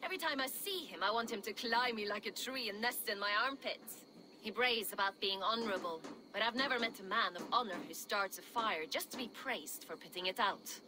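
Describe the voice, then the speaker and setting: A woman's voice: mid-range voice, fairly quick, lightly acted. A woman playing a brash, bawdy Viking ex-smuggler in a video game, with a jaunty, teasing, wry way of talking. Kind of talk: video game character acting. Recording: clear background noise and outdoor ambience.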